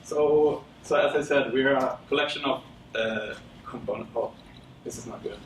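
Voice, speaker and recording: Swedish guy talking about his software at a meetup, lots of uhs, sounds far off in an echoey room. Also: medium pitch, moderate pace. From Sweden. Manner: relaxed, rambling with many fillers. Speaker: conference speaker. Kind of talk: casual tech talk. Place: lecture room. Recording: roomy, distant with audible background noise.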